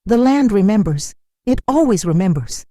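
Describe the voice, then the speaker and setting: A narrator voicing a sinister cloaked stranger in a folktale, taunting and cryptic, making grand pronouncements with eerie calm. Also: mid-range voice, normal speed, no room sound, clean studio sound, pitched above the performer's own voice, natural voice. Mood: ominous. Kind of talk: dramatic folktale storytelling.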